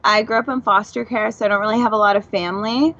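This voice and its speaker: A woman's voice: mid-range voice, fairly even intonation, fast talker, sharp tone, muffled recording. A woman guest chatting casually and effusively on a live show.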